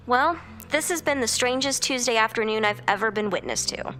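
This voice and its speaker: A woman's voice: fairly high pitch, fairly quick, sharp tone, soft background music. A woman playing a chatty, wry civilian sidekick in an audio drama, playful and a little hesitant. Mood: amused.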